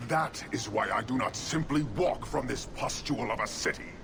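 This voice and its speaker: An actor playing a stern, proud giant military commander in a video game, speaking in a grave, formal, declamatory way. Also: male voice, mid-range voice, moderate pace, creaky, noisy background, close to an everyday voice. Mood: rising anger.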